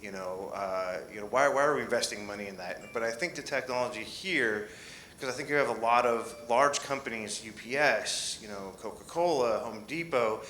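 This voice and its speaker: A man's voice: mid-range voice, normal speed, pushed and bright, roomy sound, slight background noise, low-quality recording. A man answering questions on a conference panel, speaking with many fillers and restarts.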